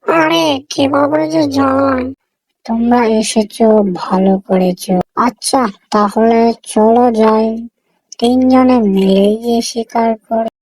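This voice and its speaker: A woman's voice: on the high side, slow, a little mellow, no echo, poor audio. A woman voicing a small, timid but scheming animal in a cartoon story. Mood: friendly.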